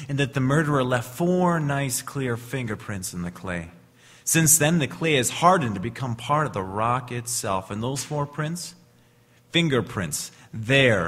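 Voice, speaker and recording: A raspy-edged police inspector played by a man presses his case in a reverberant hall. He is self-assured, wry and accusing, with the theatrical insistence of old-time radio crime acting. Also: medium pitch, fairly bright tone.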